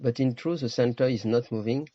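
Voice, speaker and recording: Low-voiced man guiding a meditation. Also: dark tone, no echo, highs cut off. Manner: slow, pausing, short phrases. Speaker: meditation teacher. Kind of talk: guided meditation.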